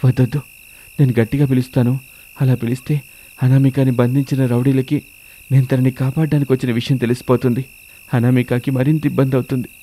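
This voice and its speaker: A man's voice: mid-range voice, little pitch movement, brisk, warm tone, slight background noise, close to an everyday voice. A man playing a worried, devoted young husband talking earnestly to himself in a dramatised story. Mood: worried, resolute.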